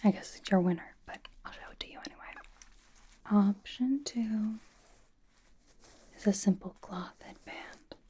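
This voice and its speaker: Girl doing a blunt but doting young pop idol gal, soft whispery asmr, relaxed dark tone, dry slangy talk. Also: mid-range voice, measured pace, pauses often, slightly rough. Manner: casual slangy drawl, dry and deadpan. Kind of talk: whispered ASMR personal attention roleplay.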